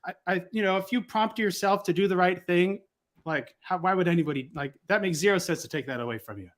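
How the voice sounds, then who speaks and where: A man's voice: high-pitched, fast, a bit bright. A man explaining ideas conversationally as a podcast guest, analytical and precise in wording, pressing points with emphatic rhetorical questions.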